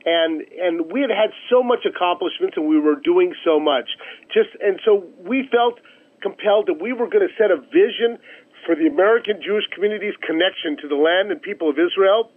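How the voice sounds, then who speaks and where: Guy calling into a radio interview, tinny phone sound, high voice, pushing his points hard. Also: a little fast. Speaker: interview guest, nonprofit chief executive. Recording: narrow, tinny phone line.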